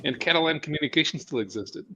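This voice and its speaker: A man from Portugal co-hosting a casual live chat, speaking haltingly and chuckling at his own jokes. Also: on the high side, lively intonation, bright, forceful tone. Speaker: co-host. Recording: dull lo-fi mic.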